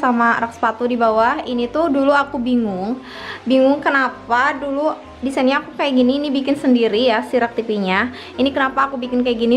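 High-voiced young woman chatting over music. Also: a bit bright. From Indonesia. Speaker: vlogger giving a home tour. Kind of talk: casual chat to viewers. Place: her own small house, front yard then living room. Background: background music.

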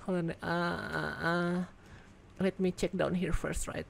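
A woman giving casual gameplay commentary as a reviewer, excitable and thinking out loud, congested and losing her voice. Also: on the low side, unhurried, gravelly, slight background noise. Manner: excitable, exclaims in surprise, thinks aloud. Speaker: game video reviewer.

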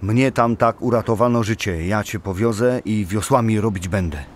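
An actor playing a bold, hearty, stubborn Slavic warrior in a dramatic audio play, with a declamatory manner. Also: male voice, fairly low pitch, normal speed, slight background noise, natural voice. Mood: grave, resolute.

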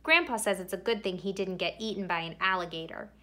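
A woman reading a children's picture book aloud expressively, with theatrical emphasis and drawn-out words. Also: mid-range voice, pushed and bright. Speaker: read-aloud storyteller.

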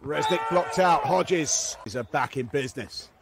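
Higher-pitched male sports commentator. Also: animated, unhurried, gravelly, heavy background noise. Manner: clipped phrases, swelling to shouts on big points. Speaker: beach volleyball commentator. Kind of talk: live sports play-by-play commentary.

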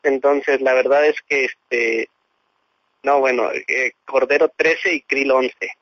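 A man's voice: mid-range voice, steady tone, slow, no echo. A young man with a Mexican accent talking casually on a phone call. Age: young adult. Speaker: campaign social media coordinator. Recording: dull, dry phone line.

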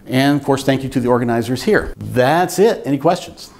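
An older man presents technical material to a meetup audience. He explains in an informal, measured way, with a voice of ordinary male pitch. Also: animated, creaky, heavy background noise. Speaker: software engineer giving a talk. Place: meetup room with seated audience, indoors.

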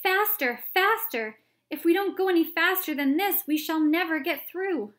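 A woman voicing an eccentric, excitable male chocolate maker who gushes with theatrical exclamations. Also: high-pitched. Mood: exuberant. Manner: gushing, theatrical, rapid exclamations.

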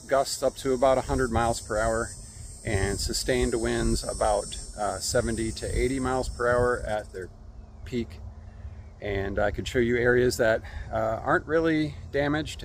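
A man in his fifties with an American accent gives casual vlog commentary in a halting, matter-of-fact way, recorded outdoors on a phone. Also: mid-range voice. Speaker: cycling vlogger. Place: outdoors by bike paths and roads. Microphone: phone at arm's length. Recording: noisy outdoor audio with traffic ambience.

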